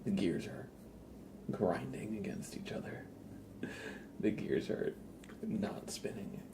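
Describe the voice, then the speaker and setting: A man hosting an ASMR livestream in a breathy whisper and soft murmur, gentle, repetitive and unhurried. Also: deep voice, animated, background noise.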